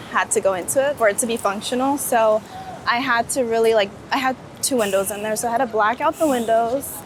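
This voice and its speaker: A woman's voice: a little high, fairly bright tone, slight background noise. A woman chats casually and enthusiastically in an interview outdoors on a city street. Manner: enthusiastic, informal, peppered with "like". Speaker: street photographer being interviewed. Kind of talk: casual interview chat while walking. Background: street ambience and passing vehicles.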